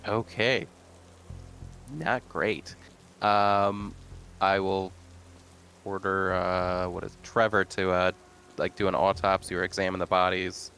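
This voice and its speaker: A man's voice: sits low, flat delivery, slow, long pauses, a bit bright, soft background music. A man chatting casually as a player in a tabletop game, wry and hesitant as he thinks aloud.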